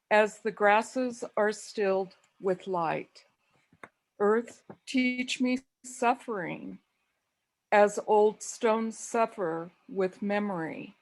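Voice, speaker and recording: A woman from Wyoming speaks at a memorial over a video call, very slowly and deliberately. Her delivery is even and, in her own words, monotone, unhurried as she reads a prayer aloud. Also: medium pitch, poor audio. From Wyoming, USA. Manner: slow, calls own voice monotone. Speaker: mourner giving a tribute. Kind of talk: memorial remembrance and reading a prayer.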